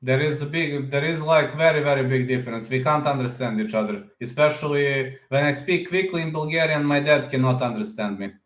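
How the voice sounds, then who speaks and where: A man's voice: medium pitch, brisk, hardly any pauses, fairly bright tone. Chatty young man in a roomy echo. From Serbia. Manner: chatty, repeats phrases and answers chat questions. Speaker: livestream host. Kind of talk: casual livestream chat with viewers.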